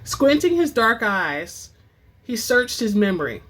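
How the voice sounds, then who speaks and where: A woman hosting and reading book excerpts in a dramatic, expressive storytelling style, with informal asides. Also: on the high side, unhurried. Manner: theatrical, expressive; informal, says y'all. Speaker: host and reader of book excerpts.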